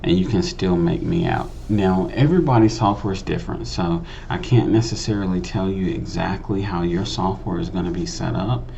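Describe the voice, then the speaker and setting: A man's voice: deep voice, normal speed, vocal fry, few pauses, muffled recording. A man giving a casual tutorial voice-over in a conversational, explanatory way, repeating phrases for emphasis. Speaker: host of a video editing tutorial channel.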